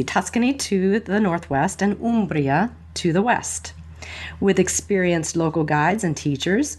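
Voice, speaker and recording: A woman hosting a podcast in a scripted, upbeat way, mixing Italian phrases into her English. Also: fairly low pitch. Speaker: podcast host.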